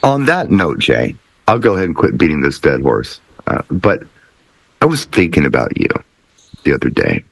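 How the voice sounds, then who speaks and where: A man's voice: very deep voice, wildly swooping pitch, normal speed, creaky, slightly rough, dry recording. A man hosting a casual panel chat, conversational and often circling back to rephrase his points. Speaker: talk show host, DJ.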